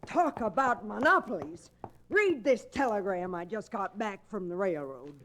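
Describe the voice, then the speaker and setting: An actress playing a feisty, blunt older cattle rancher in a radio Western, folksy and exasperated. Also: female voice, mid-range voice, very animated, moderate pace, fairly bright tone, slight background noise. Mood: exasperated, beaten. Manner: folksy, plain-spoken, exasperated frontier talk. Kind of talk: old-time radio Western acting.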